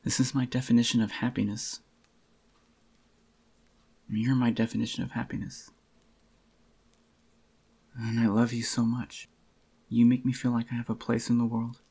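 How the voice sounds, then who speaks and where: A man playing a possessive, devoted boyfriend in a soft-spoken, intimate ASMR roleplay, tender and obsessive, with a groggy, gravelly voice. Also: mid-range voice, little pitch movement. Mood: devoted, adoring. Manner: tender, slow reassuring endearments to a lover.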